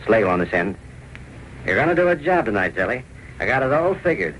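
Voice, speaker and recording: An actor playing a menacing, vindictive American racket boss in a 1930s radio drama, domineering and full of gangster slang. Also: male voice, mid-range voice, a little fast, rough recording. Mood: vengeful. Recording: old radio recording with steady hiss.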